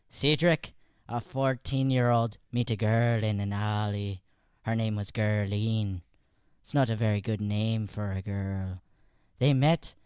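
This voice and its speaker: A man's voice: medium pitch, smooth, no echo, studio quality. A man performing as an improv comedian, bantering and recapping a show informally into a podcast microphone.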